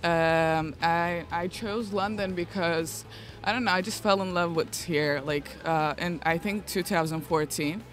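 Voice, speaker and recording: A woman's voice: lowish voice, measured pace. A young woman from Turkey, a recent graduate, answering interview questions outdoors in a shy, hesitant way. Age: young adult. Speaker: university graduate. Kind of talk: casual street interview answers. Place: outdoors in a city.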